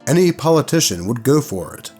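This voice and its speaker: A man's voice: mid-range voice, big pitch swings, fairly quick, a little mellow, husky, faint music behind. A man voicing a pushy, insistent male game master in a narrated story. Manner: insistent, pressuring.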